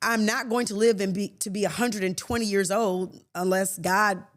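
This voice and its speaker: A woman in her late fifties hosts a faith podcast in a reflective monologue. She talks earnestly and conversationally, with soft laughs and frequent restarts. Her voice sits in a middle pitch. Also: steady tone, normal speed. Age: 50s. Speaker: podcast host.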